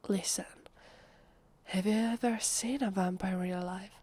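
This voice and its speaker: A woman with an Italian accent playing a nervous, fragile, defensive female vampire in an ASMR roleplay, speaking softly and haltingly in a breathy, often whispery voice. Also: medium pitch, steady tone. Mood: defensive, evasive. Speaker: voice actress. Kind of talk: ASMR roleplay, soft acted monologue.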